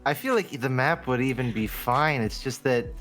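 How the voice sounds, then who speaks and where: Man, average pitch, casual gaming podcast chat, faint music in the background. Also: normal speed. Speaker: podcast panelist.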